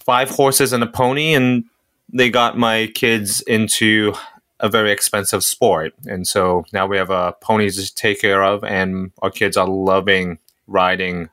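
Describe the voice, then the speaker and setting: A man's voice: fairly low pitch, little pitch movement, measured pace, a bit bright, clean tone. A man from Singapore, a guest on a radio interview, talking casually and thoughtfully. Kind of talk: casual conversation.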